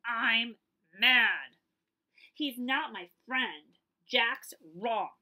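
A woman voicing an indignant but affectionate girl pig from a picture book, in emphatic, drawn-out, childlike declarations. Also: fairly high pitch, pushed and bright, exaggerated voice. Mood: angry.

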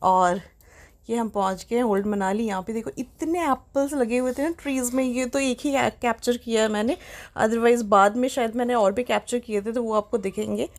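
Chatty excited young woman vlogger. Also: on the high side, moderate pace. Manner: chatty, excitable, mixes English into Hindi. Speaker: travel vlogger. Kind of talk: casual travel vlog voice-over.

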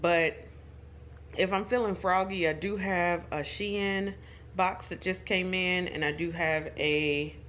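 A woman's voice: fairly low pitch, steady tone, slow, a bit bright, slight background noise. A woman, chatting casually to her own camera, rambling and joking about her day. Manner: chatty, rambling, self-deprecating asides. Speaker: vlogger. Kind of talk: casual vlog talking to camera. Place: home, then in her car. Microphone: phone or camera, self-filmed.

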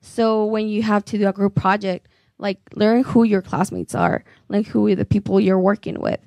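A woman's voice: mid-range voice, fairly even intonation, moderate pace, dark tone, dry recording. A young woman in her twenties from Ecuador, giving earnest, encouraging advice to students into a handheld mic in a conference room. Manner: earnest, encouraging, many fillers. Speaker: graduate speaker.

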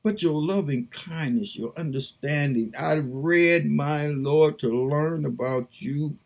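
A woman's voice: low-pitched, a little mellow. A woman preaching and praying aloud, reading scripture in a fervent, pleading manner. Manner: fervent, pleading, slow and drawn out. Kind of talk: impassioned prayer and scripture reading.

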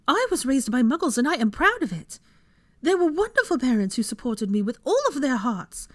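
Woman acting indignant young witch, high-pitched and fiery. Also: big pitch swings, a little fast, no echo. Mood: angry, indignant. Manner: exasperated, emphatic.

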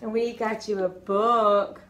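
A middle-aged woman, a grandmother, reading a picture book aloud to her grandchild in a living room, slow and gentle, livening up the character lines. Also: mid-range voice, fairly even intonation. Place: living room couch, indoors. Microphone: phone or webcam in front of the couch.

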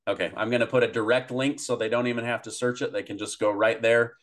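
A man's voice: medium pitch, steady tone, quick pace. A man in his thirties hosting an interview over a video call, talking appreciatively with some hesitant restarts. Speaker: interview host. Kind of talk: casual interview wrap-up. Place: home office, indoors.

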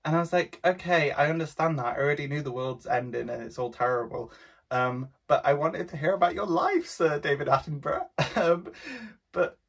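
A young man talks casually to camera, his slightly high voice worn hoarse. He rambles and rants with playful exaggeration, speaking loosely and chattily. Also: expressive, a little fast. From Britain. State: losing his voice. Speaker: book vlogger.

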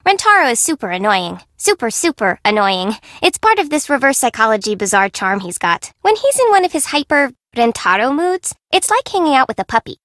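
High, animated sassy young city girl. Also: fairly quick, few pauses, dry recording, studio quality. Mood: chatty, teasing. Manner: teasing, slangy, breezy and blunt. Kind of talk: video game character dialogue.

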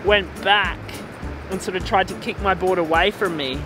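Laid-back young surfer, high-pitched. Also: male voice, normal speed, over music, poor audio. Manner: laid-back, rueful and joking. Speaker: surf vlogger. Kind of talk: casual vlog chat.

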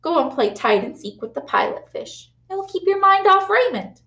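A woman voicing a gentle mother shark in a picture-book read-aloud, soothing and reassuring, recorded in a roomy classroom. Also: extremely high pitch, very animated, normal speed, voice pushed up, heavily acted.

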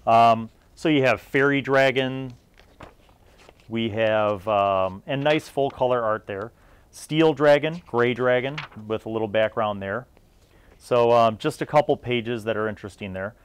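A middle-aged man hosts a casual review in an ordinary mid-pitched voice. He talks off the cuff in a conversational, rambling way, thinking aloud as he moves from point to point. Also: measured pace, smooth. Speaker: video host reviewing old gaming magazines. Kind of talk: casual unscripted review commentary.

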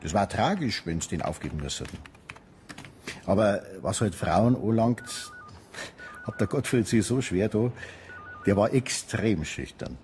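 An actor playing an easygoing small-town policeman with a Bavarian accent in a radio crime drama, unhurried and matter-of-fact. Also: fairly low pitch, sing-song, a little mellow, a little hiss or hum. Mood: reflective. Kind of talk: naturalistic German radio crime drama acting.

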